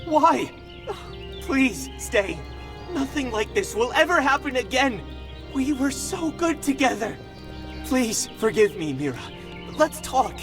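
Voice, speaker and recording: A woman's voice: high voice, sing-song, takes it slowly, music in the background, full character voice. A woman playing an earnest, pleading lover in a dramatic fantasy game cutscene. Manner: pleading, emotional.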